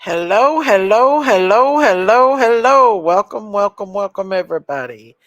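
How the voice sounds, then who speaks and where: A woman's voice: mid-range voice, lively intonation, measured pace, no echo. A woman, stuffed up with a cold, hosting a casual livestream chat and reading out viewer names. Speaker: livestream host.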